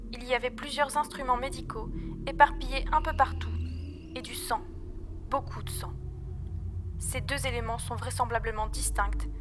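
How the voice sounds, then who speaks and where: A woman's voice: on the high side, close to an everyday voice. A young woman playing an intervention team member narrating a grave, formal report in a science-fiction audio drama. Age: young adult. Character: sombre female intervention team member reading a mission report.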